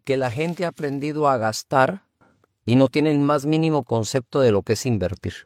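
A man's voice: mid-range voice, moderate pace, a little mellow, no room sound. A man who hosts as a pastor, jumping in with short agreements and explaining points in a conversational tone. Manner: affirming, interjecting with short agreements. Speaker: host, pastor. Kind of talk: conversational interview.

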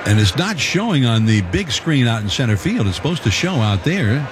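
A male play-by-play announcer calling a baseball game in a conversational, measured way. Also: noisy background. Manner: conversational, measured, calls pitches with rising excitement. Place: stadium broadcast booth.

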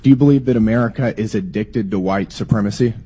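A man with a hoarse voice from a cold, questioning a witness at a hearing in a pointed way, often restarting his words. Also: on the low side, fast talker, warm tone.

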